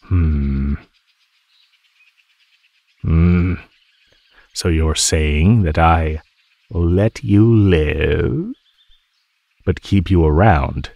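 A man voicing a teasing, menacing male naga in an ASMR roleplay, speaking in a mocking, condescending drawl with pauses. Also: low-pitched, creaky, warm tone, dry recording, lo-fi sound, heavily acted. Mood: musing, skeptical. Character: teasing, menacing male naga predator toying with captive prey.

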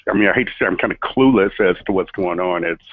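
A man's voice: low-pitched, quick pace, no room sound. A male employee on a work phone call, speaking politely and hesitantly over a tinny line, sounding unsure of himself. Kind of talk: workplace phone conversation.

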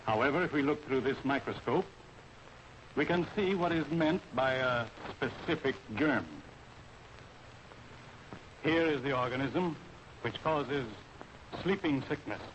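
A man with an American accent lecturing in a formal, authoritative way as an army medical officer in a 1940s training film. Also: medium pitch. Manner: formal, authoritative, deliberate instruction. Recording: dull, noisy 1940s film soundtrack.

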